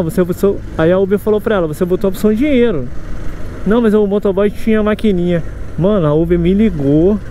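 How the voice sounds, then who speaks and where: High-voiced Carioca man venting over traffic. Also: dark tone. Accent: Carioca Brazilian Portuguese. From Brazil. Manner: chatty, venting, addresses viewers directly. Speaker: motorcycle delivery courier vlogger. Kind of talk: casual talk to camera while riding. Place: riding a motorcycle on city roads outdoors. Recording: road and traffic noise behind.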